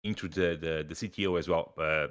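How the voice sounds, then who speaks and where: A man from Portugal, a company executive, talking in relaxed, casual interview style with easy laughs and fillers. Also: deep voice, fairly quick, smooth. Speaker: CEO.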